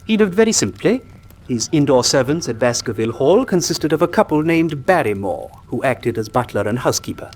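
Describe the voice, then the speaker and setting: An actor playing an earnest country doctor who tells a grim tale gravely and articulately in a radio drama. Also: male voice, medium pitch, very animated, fairly quick, a little mellow. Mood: earnest, factual.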